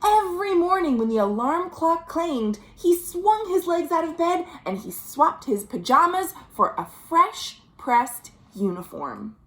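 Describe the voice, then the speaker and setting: A woman with a high-pitched voice and an American accent hosts a storytime for little kids. Her delivery is very animated and slow, stretching out words and pausing to put simple questions to her listeners. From United States. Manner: expressive, drawn-out words, gentle questions to young listeners. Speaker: children's librarian hosting a virtual storytime. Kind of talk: read-aloud storytelling for young children, with chatty asides. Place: at home.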